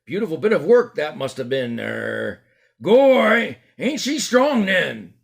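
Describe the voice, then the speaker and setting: A cheeky working-class bystander, put on by a man reading aloud. He jeers in a rough, raised voice. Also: fairly high pitch, sing-song, takes it slowly, voice pushed up, full character voice. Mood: jeering. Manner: jeering, rough-spoken.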